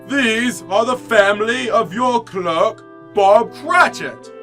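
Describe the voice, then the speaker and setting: A jolly giant spirit declaims in a high, bright voice. He is commanding and theatrical, drawing out his words slowly in old-time radio style. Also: animated, soft background music, heavily acted. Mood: grand.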